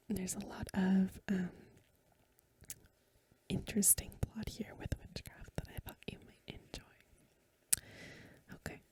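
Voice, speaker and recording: A woman reading a story aloud for ASMR in a hushed, gentle, unhurried whisper, close to the microphone. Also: mid-range voice. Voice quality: whispery, breathy. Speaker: ASMR reader and host. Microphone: close mic, dry.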